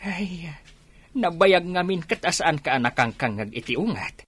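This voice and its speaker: A woman's voice: lowish voice, animated, normal speed, a bit bright, husky. An actress playing a weary, scolding elderly mother in a radio drama. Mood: chiding. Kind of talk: Filipino radio drama acting.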